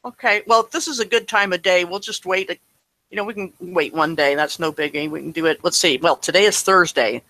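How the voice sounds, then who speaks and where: A man in his late fifties leading an online Bible study, talking in a relaxed, rambling way. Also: high-pitched, fairly quick. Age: 50s. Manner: relaxed, rambling, chuckles often. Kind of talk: casual online group chat.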